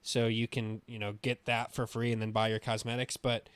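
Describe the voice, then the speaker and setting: A man's voice: mid-range voice, flat delivery, moderate pace, fairly bright tone, clean tone, no echo. A man co-hosting a gaming podcast, talking casually and with strong opinions, swearing now and then. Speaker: podcast co-host.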